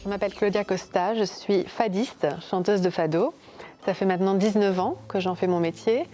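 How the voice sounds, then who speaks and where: A fado singer, a woman from Portugal's Algarve, telling her story in an unhurried, reflective way in a documentary interview. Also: mid-range voice, warm tone, faint music behind.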